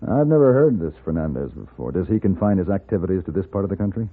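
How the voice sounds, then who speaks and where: Western gunfighter, American, lowish voice, courteous, deliberate, composed, tinny vintage radio recording. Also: male voice, lively intonation, husky, full character voice. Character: composed, courteous gunfighter for hire, narrating his own tale. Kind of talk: 1950s Western radio acting and narration. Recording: narrow-band 1950s radio recording with music.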